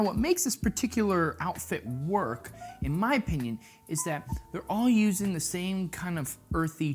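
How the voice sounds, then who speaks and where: A man presenting a casual fashion vlog, chatty and playful, with jokey asides. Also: high-pitched. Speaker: fashion vlogger. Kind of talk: casual style-tips vlog.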